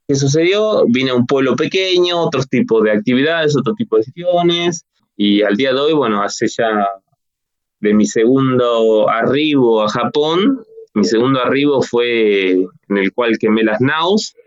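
A man's voice: medium pitch, no room sound, highs cut off. A man in his forties with an Argentine Spanish accent, telling his story as a guest in a casual interview, rambling with restarts and fillers.

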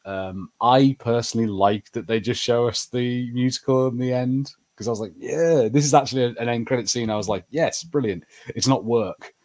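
A man's voice: mid-range voice, normal speed, few pauses, a little mellow. A man co-hosting a podcast, chatting casually as he reviews TV shows. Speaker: podcast co-host.

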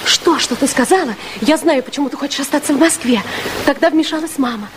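A girlish, high-pitched young sweetheart, played by a woman, confides anxiously and openly. The acting is that of 1960s radio theatre, with clear noise over the recording. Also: fast, natural voice. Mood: eager, confiding. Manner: girlish, confiding, emotionally open. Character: anxious, candid nineteen-year-old sweetheart from a professional family. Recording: noisy 1960s radio recording, rain-like hiss.

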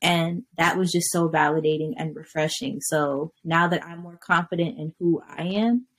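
Young female singer guest, lower voice, reflective and laughing, unhurried. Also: fairly even intonation. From Kenya. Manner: reflective, laughs easily, drawn-out words. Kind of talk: casual interview chat.

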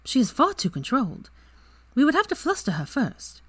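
Woman putting on a high playful young witch, teasing, husky edge. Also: wildly swooping pitch, normal speed, a bit bright, dry recording, exaggerated voice. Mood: mischievous.

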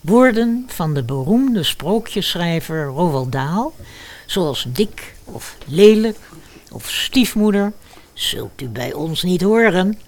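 Woman announcer, unhurried pace, highly varied intonation, theatrical, mock-solemn, scripted intro. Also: very animated, darkish tone. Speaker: host, announcer. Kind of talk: scripted audio drama introduction.

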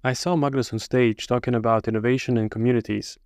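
A man's voice: mid-range voice, steady tone, quick pace, a little mellow, dry recording. Male podcast host, mid voice, dry sound, constant murmured backchannels. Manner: many short backchannel murmurs. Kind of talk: interview podcast hosting.

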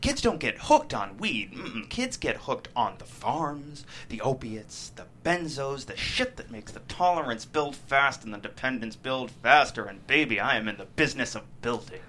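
An actor in a table read playing a cocky, playfully cruel drug dealer with taunting, slangy street talk. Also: male voice, mid-range voice, sing-song, normal speed, few pauses, sharp tone, slight background noise. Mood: swaggering. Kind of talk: dramatic table read of a teen drama script.

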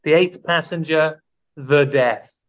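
A man's voice: a little high, takes it slowly. A man hosting a comedy podcast quiz, teasing his co-host in casual banter. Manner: playful, teasing quizmaster.

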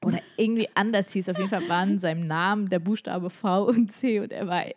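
A woman's voice: medium pitch, quick pace. A woman with a slight cold, chatting casually as a podcast co-host and telling stories with frequent laughter. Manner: laughs often, lively storytelling. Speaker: legal advisor. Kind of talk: casual chat.

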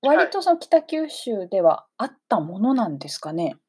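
A woman's voice: mid-range voice, big pitch swings, normal speed, dark tone, breathy edge. A woman hosting a conversational radio interview, politely and attentively affirming her guest. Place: radio programme recording.

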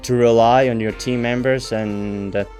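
A man hosting an interview podcast, speaking in a halting way and often restarting his phrases. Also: medium pitch, fairly even intonation, a little mellow, faint music behind. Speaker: podcast host.

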